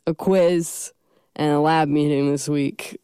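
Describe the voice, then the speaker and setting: A woman's voice: deep voice, steady tone, slow pace, dark tone, clear, resonant tone, dry recording, clean studio sound. Stuffy-nosed young woman hosting college radio. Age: young adult. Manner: rambling, self-deprecating, many ums. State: stuffy from a cold. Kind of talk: casual radio chat.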